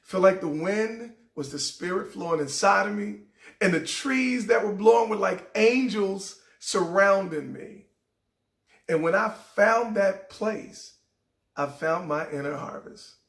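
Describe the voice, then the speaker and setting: A middle-aged man hosting a show, telling a heartfelt personal story in a raspy voice that sometimes cracks. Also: high-pitched, measured pace, echoey room. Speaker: show host. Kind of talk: inspirational personal talk.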